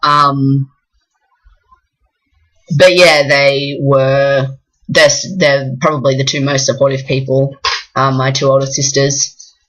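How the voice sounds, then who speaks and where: A young Australian man from the south coast of New South Wales, talking frankly and in a rambling way in a personal vlog, with many pauses. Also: mid-range voice, level tone, a bit bright, rough recording. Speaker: vlogger. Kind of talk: casual personal vlog talk.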